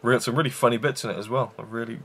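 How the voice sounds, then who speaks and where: A man's voice: mid-range voice, fairly even intonation, racing, fairly bright tone, a little hiss or hum. A man hosting a casual film review show, rambling with enthusiasm and many fillers and restarts.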